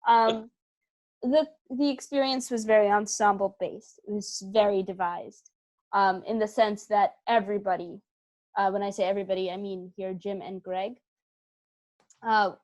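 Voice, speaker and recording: A woman's voice: medium pitch, steady tone, normal speed, darkish tone. A woman giving casual interview answers as a guest, thoughtful and emphatic as she clarifies point by point. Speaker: interview guest, theatre company member and writer.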